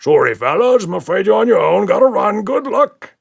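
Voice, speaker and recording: High, raspy, fretful little monster. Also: male voice, sing-song, a little fast, full character voice. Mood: panicked, hasty. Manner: fretful, complaining. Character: anxious, fussy, indignant little tusked male monster. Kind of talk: children's storybook character voice.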